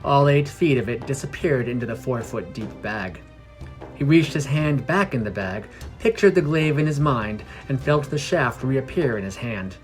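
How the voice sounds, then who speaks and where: A man's voice: medium pitch, lively intonation, normal speed. Male fantasy story narrator over music. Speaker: narrator of a fantasy fiction podcast.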